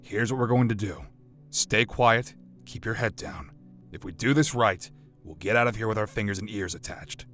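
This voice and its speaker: Man playing a low, hard-edged veteran soldier. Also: a bit bright, natural voice. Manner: terse, urgent, commanding. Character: gruff, cynical veteran human soldier, self-disgusted survivor. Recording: music behind the voice.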